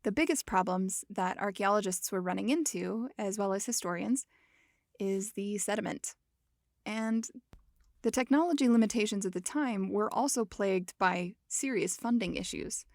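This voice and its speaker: Lady podcast host joking around while she explains stuff, mid pitch, super dry close sound. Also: normal speed, dry recording. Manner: playful, jokey, self-mocking. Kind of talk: casual educational podcast talk.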